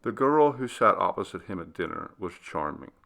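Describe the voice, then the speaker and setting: A man narrating a literary ghost story in a measured, deliberate storytelling manner. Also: sits low, very animated, vocal fry, a bit bright. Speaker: audiobook reader.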